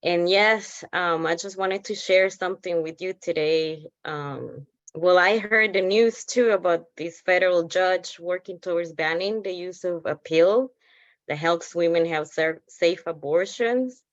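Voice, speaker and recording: Female activist from El Salvador, slow, halting, earnest testimony, dry and slightly dull call audio. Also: on the low side, no room sound. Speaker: activist organizer. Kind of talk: personal testimony. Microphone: video call.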